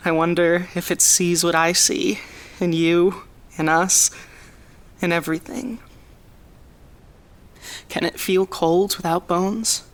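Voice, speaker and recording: A performer in an audio drama reading a spoken-word poem in a measured, quiet manner. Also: mid-range voice. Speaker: poetry reader in audio drama.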